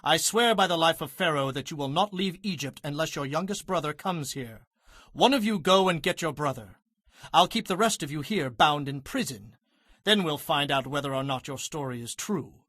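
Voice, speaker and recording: A man's voice: fairly high pitch, expressive, normal speed, no room sound, studio quality. A man playing a stern, demanding governor of ancient Egypt in a dramatized audio Bible. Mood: threatening. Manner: forceful, demanding. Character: stern, commanding governor of Egypt, accusing and testing.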